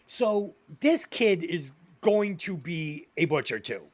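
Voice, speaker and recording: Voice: mid-range voice, big pitch swings, slow. A podcast co-host in casual comedy movie-review chat, reading out plot summaries and swearing casually.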